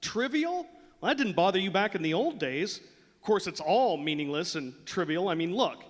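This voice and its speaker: An actor playing a loyal, nostalgic old ex-ballplayer, earnest and folksy, in a live radio drama. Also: male voice, high voice, expressive, normal speed. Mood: pleading. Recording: live stage, long hall reverb.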